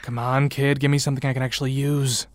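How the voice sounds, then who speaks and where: An actress playing a brusque, pushy journalist in an audio drama, clipped and condescending. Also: female voice, extremely low pitch, level tone, fast talker, no echo, clean studio sound. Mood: impatient, pressing.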